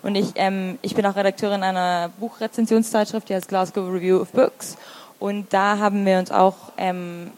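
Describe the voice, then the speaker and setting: A woman's voice: mid-range voice, level tone, normal speed, darkish tone. A woman in her thirties with a Swabian German accent, answering interview questions as a literary translator, thoughtful with many fillers and restarts. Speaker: literary translator and review editor.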